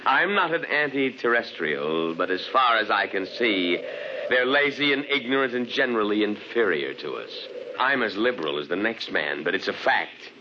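An actor playing a pompous, mocking imperial governor and soldier, in 1950s radio acting with a dull recording. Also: male voice, fairly low pitch, expressive, normal speed, noisy background, lightly acted. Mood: scornful. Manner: pompous, mocking, laughs at others.

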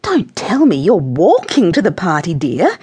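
A woman's voice: mid-range voice, big pitch swings, unhurried, slightly rough. A woman voicing a fussy, gossipy older woman neighbour in a children's storybook, twittering and insistent with a sugary edge. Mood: bright, nosy. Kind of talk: children's audiobook character voice.

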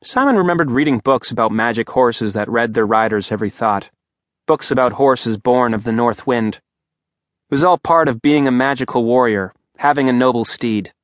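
A man's voice: mid-range voice, normal speed, no echo. A man reading an audiobook aloud in a dry, wry storytelling manner at a studio microphone. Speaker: audiobook narrator. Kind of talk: scripted narration.

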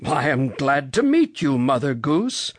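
A reader voicing a genial, excitable old gentleman rabbit from a storybook, polite and given to exclaiming. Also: medium pitch, measured pace, dry recording. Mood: delighted. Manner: polite, exclamatory, wondering aloud.

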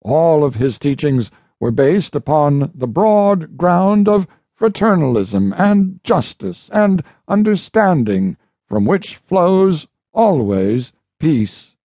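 A man narrating an audiobook, reading a formal historical address in a measured, solemn, oratorical manner. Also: on the high side, sing-song, mellow, dry recording, dull audio.

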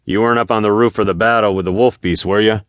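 Man playing an earnest, low-voiced fantasy hero. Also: normal speed, fairly bright tone, no echo. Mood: concerned. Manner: earnest, informal, hesitant at times. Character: plain-spoken, determined part-human male fantasy hero. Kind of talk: audiobook character voice.

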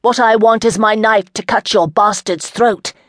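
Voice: mid-range voice, expressive, moderate pace, no room sound, clean studio sound, voice pushed up, natural voice. A reader voicing a proud young noblewoman who answers with scorn and defiance. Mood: defiant. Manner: scornful, raised and forceful.

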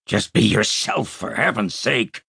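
A man's voice: fairly low pitch, unhurried, gravelly, pushed and bright, husky, dry recording, studio quality, voice pushed up, full character voice. A man voicing an irascible, imperious old male tycoon in an audiobook, blustering with indignation. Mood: exasperated. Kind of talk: audiobook character acting.

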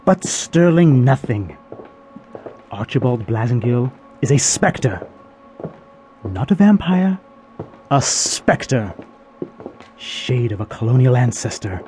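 A man's voice: medium pitch, big pitch swings, slow pace, long pauses, dark tone, faint music behind. A man playing a pompous, indignant veteran soap opera actor in an audio drama, with a theatrical, grandiloquent manner.

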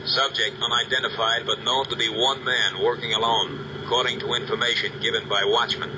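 A police dispatcher reads his orders in a flat monotone with clipped words, as if heard over shortwave radio. Clear hiss lies under the voice. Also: mid-range voice, fairly quick. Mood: businesslike. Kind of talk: 1940s radio acting. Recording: hissy radio broadcast.

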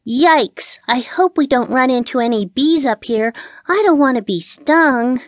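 A woman voicing an excitable, easily rattled little female cat in a children's storybook. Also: high-pitched, a little slow, dry recording, voice pushed up, full character voice. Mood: nervous. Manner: exclaiming, eager.

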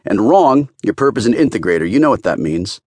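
A confident, commanding female federal agent from a crime audiobook, acted by a male narrator. The delivery is brusque and needling, with dry wit. Pitch moves widely, and the sound is very close and dry. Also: sing-song, a little fast, darkish tone, dry recording. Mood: wry, pointed.

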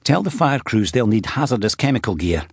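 A man's voice: mid-range voice, big pitch swings, a little fast, husky, no echo, voice pushed up. A man voicing a police detective inspector in an audiobook, urgent and commanding, with clipped official speech. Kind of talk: audiobook character dialogue.

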